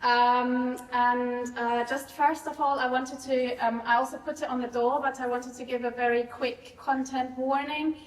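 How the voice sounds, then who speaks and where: A woman hosting a university lecture who introduces the speaker haltingly, with many ums and uhs. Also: high-pitched, level tone. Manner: hesitant, filler-heavy. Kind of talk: formal introduction with many fillers. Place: lecture hall. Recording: echoey hall, mic from far off.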